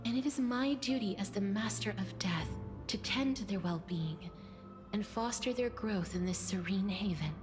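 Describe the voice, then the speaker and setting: A woman playing a serene demigod of death in a game, speaking in a calm, graceful and formal poetic manner. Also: mid-range voice, normal speed, lightly acted. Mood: serene, tender. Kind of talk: game voice acting, poetic monologue. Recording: prominent music behind the voice.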